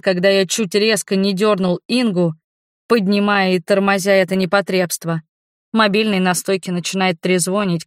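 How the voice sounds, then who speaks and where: A woman reads fiction as an audiobook narrator in a dramatic first-person style. Her voice sits at a medium pitch, and the recording is close and dry. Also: no echo.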